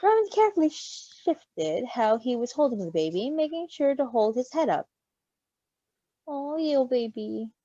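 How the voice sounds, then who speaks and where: A woman reading a story in an animated way, with giggly, casual asides. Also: a little high, normal speed, few pauses, no room sound. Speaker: story reader. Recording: slightly dull, close dry recording.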